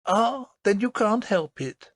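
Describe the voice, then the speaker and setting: A man voicing an earnest, hurt little princess girl in a pleading, insistent high voice. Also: animated, slow, no room sound, clean studio sound.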